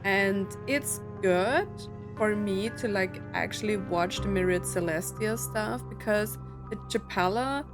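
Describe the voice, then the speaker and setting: A woman giving casual let's play commentary, rambling as she thinks aloud about a story game. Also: medium pitch. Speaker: streamer playing a story game.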